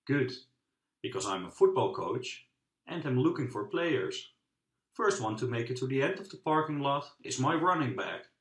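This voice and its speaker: Storybook football coach, read by a man: friendly, upbeat, very animated, echoey room. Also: medium pitch, normal speed. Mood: eager, rousing. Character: friendly, eager male youth football coach. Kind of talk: children's storybook character reading. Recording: roomy, echoing sound.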